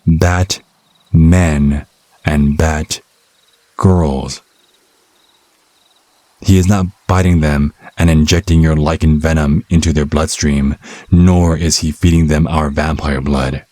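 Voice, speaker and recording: Man acting a very deep, smug vampire captive. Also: steady tone, slow pace, pauses often, warm tone, no room sound. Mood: sardonic, explaining. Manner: sardonic, mock-polite, teasing with pet names. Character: captured male vampire hunter, smug, taunting, cunning. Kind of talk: ASMR roleplay audio drama.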